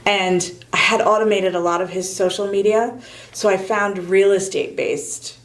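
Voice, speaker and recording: A woman's voice: medium pitch, fairly even intonation, moderate pace. A middle-aged woman answering interview questions conversationally as a real estate agent, candid and self-deprecating.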